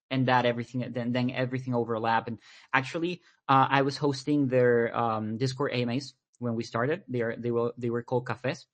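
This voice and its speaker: Man, rambling guest talk. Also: medium pitch. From Venezuela. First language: Spanish. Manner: rambling, repeats words while thinking. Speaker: podcast guest. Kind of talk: casual conversation.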